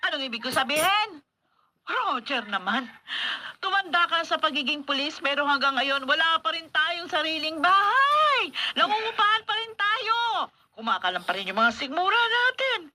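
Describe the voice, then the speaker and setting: Angry scolding wife, Filipino radio drama, piercing bright voice, animated delivery. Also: female voice, very high voice, normal speed, a little hiss or hum, exaggerated voice. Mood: scolding, resentful. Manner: scolding, complaining, exasperated. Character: angry, nagging wife of a poor policeman.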